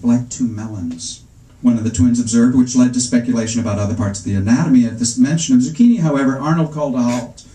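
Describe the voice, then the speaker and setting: A middle-aged man reads comic prose aloud at a public event. His voice is mellow and of medium pitch, and his delivery is wry and measured, with an arch turn on ornate phrases. The room around him is noticeably echoey. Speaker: author reading at a book event. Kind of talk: live literary reading of first-person comic prose. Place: indoor bookstore reading before a seated audience. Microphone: stand microphone, recorded from the audience seats.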